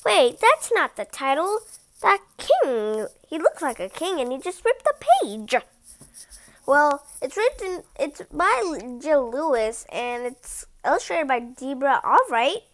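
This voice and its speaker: A woman reads a picture book aloud for children. Her voice is high and very lively in pitch, at a slow pace. She drops in chatty asides between the lines of narration.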